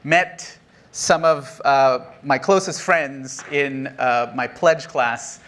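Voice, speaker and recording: A man's voice: medium pitch, takes it slowly, a bit bright. A middle-aged man from Sri Lanka giving a keynote talk, chatty and self-deprecating, laughing at his own jokes with many ums. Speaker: conference speaker. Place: conference stage.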